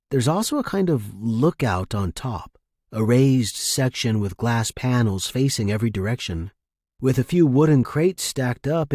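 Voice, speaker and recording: Slow, husky male story narrator. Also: medium pitch, warm tone, no room sound, studio quality. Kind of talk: scripted first-person fiction narration.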